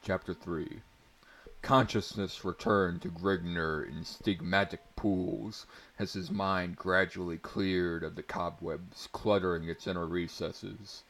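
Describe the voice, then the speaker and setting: Slow, low-voiced man narrating fantasy dramatically. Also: fairly even intonation, a bit bright. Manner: mock-serious, theatrical. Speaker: story reader, narrator.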